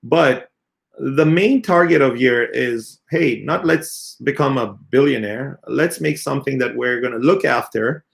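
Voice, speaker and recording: Man, 30s, company CEO, gravelly voice, halting and earnest, lots of fillers. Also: mid-range voice. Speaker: company CEO answering questions. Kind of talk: unscripted spoken answer in an AMA.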